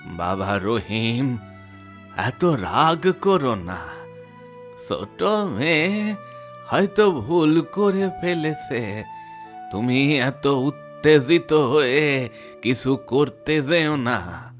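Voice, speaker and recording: Cartoon village elder, acted by a man: old, patient, soothing, extremely slow with swooping intonation. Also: mid-range voice, big pitch swings, soft background music. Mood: gentle pleading. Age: older. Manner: soothing, halting, advising. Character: gentle, patient elderly village uncle, pleading for forgiveness. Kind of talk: cartoon story voice acting.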